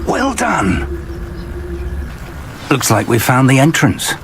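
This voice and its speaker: Watchful older wizard mentor, expressive delivery. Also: male voice, medium pitch, a little hiss or hum, exaggerated voice. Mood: encouraging. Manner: measured, articulate, gently cautioning. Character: elderly male wizard professor, watchful, protective mentor. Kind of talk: video game voice acting.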